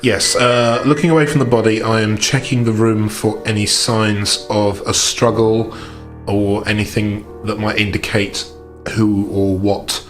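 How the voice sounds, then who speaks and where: A man taking part in a tabletop roleplaying game as a player, asking and describing things in a deliberate, methodical way. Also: lowish voice, level tone, soft background music.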